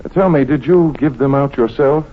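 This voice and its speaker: An actor playing a genial, wry doctor investigating a case in an old-time radio drama, casual and teasing. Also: male voice, medium pitch, natural voice. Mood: probing, wry. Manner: casual, teasing, unhurried hesitations. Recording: tinny, noisy vintage radio recording.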